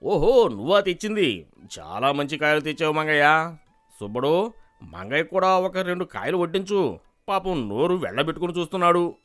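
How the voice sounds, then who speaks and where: A man voicing a commanding village landlord with a grand, emphatic delivery in an animated moral story. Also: on the high side, normal speed. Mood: pleased, teasing.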